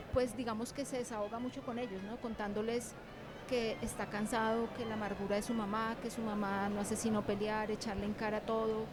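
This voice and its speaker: A woman's voice: a little high, background noise. A woman teacher being interviewed, talking reflectively about her craft and repeating words and phrases for emphasis.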